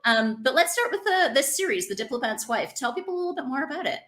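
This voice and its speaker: A woman's voice: high-pitched, animated, fast, fairly bright tone. A woman hosting a casual interview podcast, speaking in an effusive, encouraging way. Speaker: podcast host.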